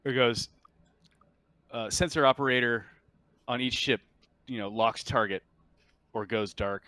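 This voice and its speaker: Male game master, clear and forward tone, close dry sound, talking through rules off the cuff. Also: lowish voice, bright, forceful tone, no room sound. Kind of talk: explaining tabletop game rules in casual chat.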